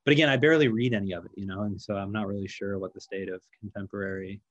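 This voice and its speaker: Man, author, interview guest, lowish voice, modest, rambling, lots of fillers. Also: steady tone, very fast, a bit bright, dull audio. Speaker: novelist being interviewed. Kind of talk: casual interview answers.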